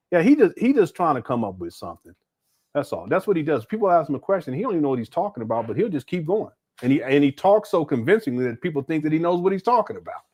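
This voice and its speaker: Exasperated man giving lively commentary. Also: a little high. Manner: exasperated, laughing, slangy asides. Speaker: commentator reacting to a clip. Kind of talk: casual reaction commentary.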